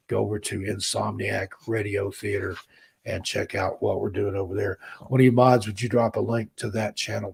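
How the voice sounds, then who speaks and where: A hoarse man hosts a laid-back livestream, rambling easily and reading viewer comments aloud. His voice is deep, gravelly and very husky, worn down as he loses it. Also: darkish tone. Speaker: livestream host. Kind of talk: casual chat with viewers.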